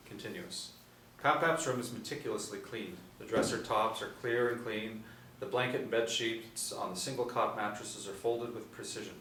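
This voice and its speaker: Male narrator for script read-through, lower register, stage directions, echoey room recording. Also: a bit bright. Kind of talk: scripted table reading, narrating screenplay. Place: small indoor room. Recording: roomy, distant mic, light room noise.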